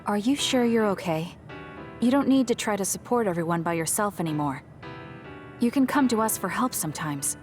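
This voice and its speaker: A woman voicing a level-headed young woman in a video game, speaking calmly and directly. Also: medium pitch, moderate pace, close to an everyday voice. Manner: calm and direct. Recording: music under the dialogue.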